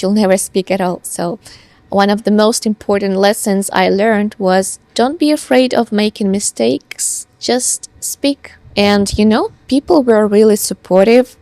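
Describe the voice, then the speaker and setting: Young woman vlogging, russian is her first language, bit of gravel in the voice, dry close sound, repeats words sometimes. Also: medium pitch, darkish tone, dry recording. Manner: earnest, with small restarts and repeats. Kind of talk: casual talk to camera.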